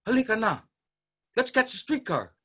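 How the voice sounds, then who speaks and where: Actor as a high-voiced, protective brother. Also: male voice, big pitch swings, moderate pace, a bit bright, exaggerated voice. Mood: caring. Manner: earnest, protective, scolding when angry. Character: Macario, caring, responsible, bookish Filipino brother. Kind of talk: staged reading drama. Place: online virtual staged reading.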